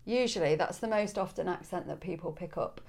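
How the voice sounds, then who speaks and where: A woman's voice: fairly low pitch, fairly quick. A woman from England with a northern English accent, a parish priest chatting easily in a podcast interview, laughing often. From Coventry, England. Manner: relaxed, chatty, laughs easily, many ums.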